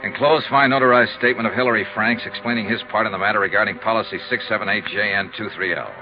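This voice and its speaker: An actor in a 1950s radio drama playing a no-nonsense insurance investigator with a clipped, businesslike manner. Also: male voice, lowish voice, fairly even intonation, quick pace, music in the background, close to an everyday voice. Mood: brisk, matter-of-fact. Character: brisk, probing, no-nonsense male insurance investigator. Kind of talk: 1950s radio acting, dialogue and narrated report. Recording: muffled, noisy 1950s radio recording.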